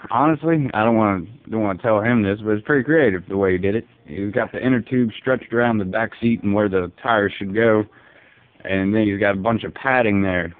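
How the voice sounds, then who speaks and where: A man playing a folksy, easygoing, bewildered dad, rambling deadpan on a prank phone call. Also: fairly low pitch, fairly quick, dry recording, lightly acted. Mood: resigned, musing. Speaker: prank caller. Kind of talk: casual phone prank, improvised. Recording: muffled narrow phone line.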